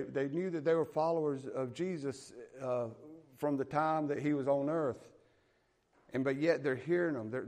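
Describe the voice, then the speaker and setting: A man's voice: a little high, a little mellow. A man with a Southern US accent preaching a sermon, reading scripture aloud and explaining it in a folksy, plain-spoken way. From American South.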